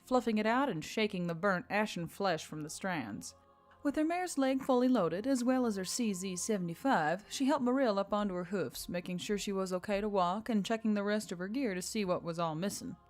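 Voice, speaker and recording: A woman's voice: medium pitch, animated, a little fast. A woman reading scripted fiction as a story narrator. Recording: background music under the voice.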